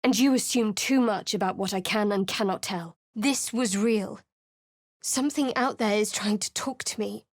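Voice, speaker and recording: A woman's voice: medium pitch, normal speed, dry recording, studio quality, lightly acted. A woman voicing a guarded, secretive young female cleric in a video game, speaking in a cool, wry, clipped way. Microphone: dry close studio mic.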